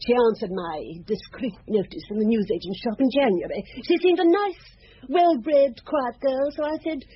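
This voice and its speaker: A woman's voice: fairly high pitch, normal speed, close to an everyday voice. An actress with a British accent playing a haughty, indignant older French landlady in a radio drama. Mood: disapproving. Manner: indignant, clipped, disdainful. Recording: dull, hissy radio drama recording.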